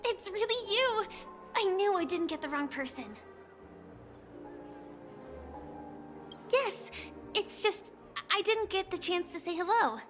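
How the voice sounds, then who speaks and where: Game heroine: sweet, high young voice, sincere and wistful, soft hesitant phrasing, faint music beneath. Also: female voice, animated, normal speed. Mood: cheerful, shy. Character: gentle, earnest young woman, sweet and wistful. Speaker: game character voice actor. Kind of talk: anime-style video game voice acting.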